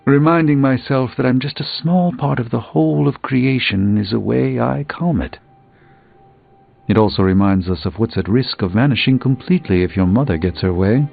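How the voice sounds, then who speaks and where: A man's voice: medium pitch, normal speed, vocal fry, phone-quality sound, faint music behind. A man voicing an erudite, self-assured middle-aged sorcerer in a video game, speaking in precise, wordy, pompous phrases. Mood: musing, philosophical.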